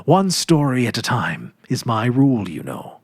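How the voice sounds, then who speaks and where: A man voicing a gentle sixteen-year-old farm girl in a storybook, a caring elder sister who speaks softly and patiently with quiet firmness. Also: mid-range voice, huge pitch swings, normal speed, gravelly, slightly rough, no room sound, studio quality, heavily acted. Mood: gently firm. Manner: soft, patient, gently firm.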